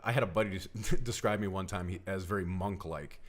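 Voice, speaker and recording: A man's voice: sits low, brisk. A man telling a personal story casually on a podcast, earnest and emphatic in the way he describes things. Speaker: podcast co-host.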